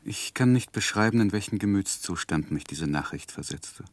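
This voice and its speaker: An actor playing an earnest, lovesick young gentleman who narrates his life in a measured, introspective 1960s German radio drama. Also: deep voice, expressive, no echo. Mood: troubled. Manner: measured, introspective literary delivery.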